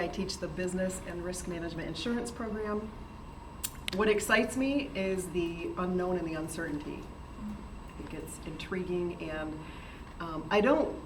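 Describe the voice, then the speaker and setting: Female teacher, lowish voice, informal remarks to a group, distant pickup. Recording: noisy room, distant mic.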